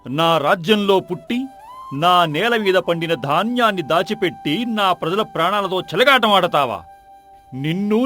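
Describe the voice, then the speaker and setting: A man's voice: high-pitched, a bit bright. A man playing a proud, hot-tempered king in a folk-tale drama, commanding and indignant. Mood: furious.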